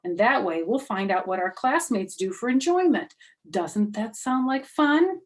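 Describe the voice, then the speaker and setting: A woman's voice: fairly high pitch, animated, unhurried, voice pushed up. Storybook male teacher, woman performer: patient, weary, polite, instructing, a touch exasperated. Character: weary, patient male schoolteacher managing a chatty class. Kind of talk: children's storybook character voice.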